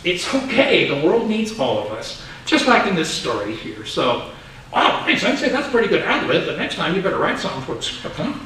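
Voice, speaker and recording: A man's voice: high-pitched, wildly swooping pitch, fairly quick, pushed and bright, a little hiss or hum, voice pushed up, full character voice. A man voicing a playful, cheeky male dog puppet in a children's skit, chatty and teasing. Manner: chatty, teasing, rambling with "you know". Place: temple hall. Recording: echoey hall, distant mic.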